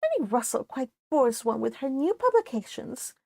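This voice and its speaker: A woman's voice: high voice, big pitch swings, moderate pace, creaky, dark tone, no echo, pitched above the performer's own voice, exaggerated voice. A woman reading a novel aloud as a vain, bored young society lady, haughty, dismissive and gossipy.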